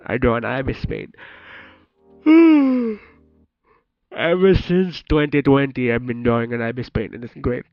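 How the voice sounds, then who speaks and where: A young Filipino-accented man talks slowly and easily with his stream chat, laughing a lot and teasing viewers with playful curses. He sounds a little sick. The audio is dull and lo-fi, with soft music underneath. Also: medium pitch, very animated, no room sound. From Philippines. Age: 20s. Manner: laughs often, teasing and jokingly profane. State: slightly sick.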